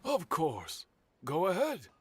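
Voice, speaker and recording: A man voicing a courteous old master swordsmith in an anime-style game, husky and formal, telling things in a measured, wistful way. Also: a little high, huge pitch swings, background noise, exaggerated voice.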